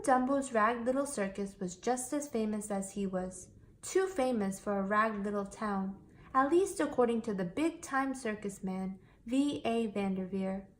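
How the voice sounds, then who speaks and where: Lady with a highish voice reading a kids picture book out loud, storytime style. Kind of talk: expressive read-aloud narration for children.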